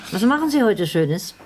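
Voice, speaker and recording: An actress in a radio drama playing an old lady who is wry, world-weary and sceptical. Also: medium pitch. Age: older. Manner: wry, unhurried. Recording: busy tavern chatter behind.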